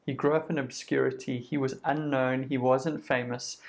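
Gravelly South African man preaching. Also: mid-range voice, little pitch movement, moderate pace. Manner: conversational, uses quoted voices and lists. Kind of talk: sermon.

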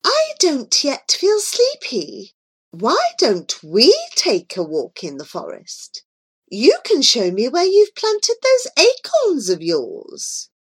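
A woman voicing a doting, possessive sorcerer's queen in a storybook reading, with a sweet, coaxing, high sing-song manner. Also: wildly swooping pitch, slow pace, husky, exaggerated voice.

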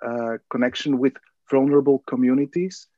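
Belgian-born male guest, thoughtful and halting, dull dry lo-fi sound. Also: medium pitch, fairly even intonation, warm tone, no room sound. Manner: hesitant, many fillers and restarts. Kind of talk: conversational interview answers.

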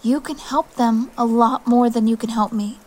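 A woman's voice: on the high side, steady tone, normal speed, a little mellow, close to an everyday voice. An actress playing a resolute young female exile in an audio drama, earnest and emotional, tender but firm. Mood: gentle resolve.

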